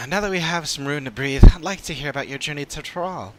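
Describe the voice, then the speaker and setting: A man voicing an earnest, analytical adventuring companion in a fantasy game, speaking in a measured, formal way. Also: a little high, animated, a little hiss or hum, close to an everyday voice, rough recording. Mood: thoughtful. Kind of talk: dramatic reading of game dialogue.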